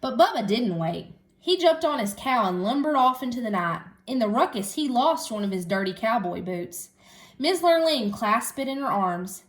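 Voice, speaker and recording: Animated, high-voiced woman reading a storybook. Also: normal speed. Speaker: schoolteacher reading aloud. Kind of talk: animated children's storybook narration.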